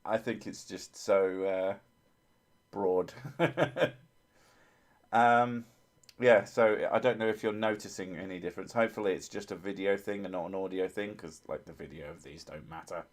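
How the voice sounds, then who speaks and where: A man with a low, soothing voice chats loosely through a livestream, rambling and poking fun at himself. He laughs often and drifts from one thought to the next. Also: normal speed. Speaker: livestream host. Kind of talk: casual livestream chat.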